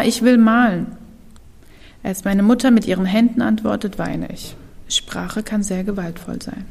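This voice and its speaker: A young woman whose first language is Kurdish performs spoken-word poetry, slow and deliberate, often dropping to a whisper. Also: mid-range voice, vocal fry, a little mellow. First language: Kurdish (Kurmanji). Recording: reverberant hall.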